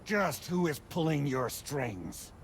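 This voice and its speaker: An actor playing an imperious, menacing antagonist in a video game cutscene, with a theatrical, threatening delivery. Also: male voice, a little high, big pitch swings, slow pace, gravelly, a little hiss or hum, full character voice. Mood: suspicious. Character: menacing, imperious male antagonist demanding answers.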